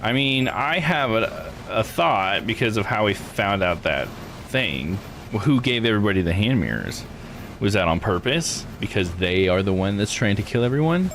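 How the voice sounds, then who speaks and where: A man's voice: on the low side, big pitch swings, moderate pace, a bit bright, slight background noise. A man live streaming a visual novel, rambling and thinking aloud in casual commentary. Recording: game music behind voice. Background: video game music.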